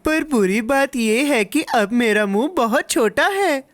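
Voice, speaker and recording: Kids' cartoon dub: fussy, impulsive small boy, high voice, childish exclamations. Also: animated, a little slow, no room sound. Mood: worried, whiny.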